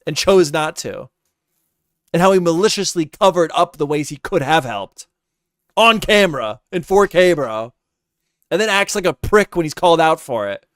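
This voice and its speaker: A man's voice: a little high, expressive, normal speed, a bit bright, no echo, studio quality. A man giving heated, casual commentary as a reaction streamer, ranting and exasperated, often repeating himself.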